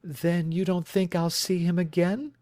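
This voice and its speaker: A man's voice: level tone, a little slow, a little mellow, no echo, clean studio sound. A man voicing an earnest young woman who is anxious and devoted to her missing fiancé, in a raised, pleading voice. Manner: pleading, distressed, higher voice.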